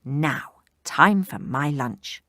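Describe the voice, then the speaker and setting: A woman voicing a greedy, sly male wolf in a storybook reading, with slow, drawn-out menace. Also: low voice, expressive, bright, forceful tone, no room sound, clean studio sound, heavily acted. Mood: menacing. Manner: huffy, drawn-out growly menace.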